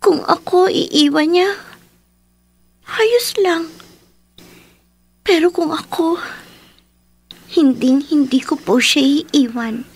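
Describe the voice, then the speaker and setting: A woman's voice: sits high, slow, long pauses, darkish tone. A woman playing a polite, earnest, hurting teenage daughter in a radio drama. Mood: quietly resolute. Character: dutiful, hurting teenage daughter pleading with her father.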